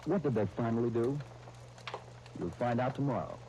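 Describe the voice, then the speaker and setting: A man's voice: medium pitch, moderate pace, low-quality recording. A man with an American accent announcing in the sponsor style of 1930s radio. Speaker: radio announcer and show host. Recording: hissy 1939 radio broadcast disc.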